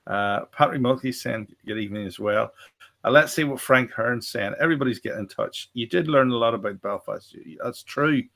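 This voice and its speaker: A man's voice: mid-range voice, fairly quick, creaky, fairly bright tone. Rambling Northern Irish man co-host. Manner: rambling, repeats words, jokey. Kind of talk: casual chat reading viewer comments.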